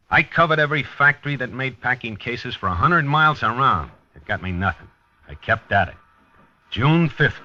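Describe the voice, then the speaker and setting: A man's voice: mid-range voice, sharp tone, no echo. An actor playing a dogged American police detective, narrating his case in a terse, wry, hard-boiled 1950s radio style. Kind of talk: 1950s radio drama acting, noir narration. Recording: dull vintage 1950s radio recording.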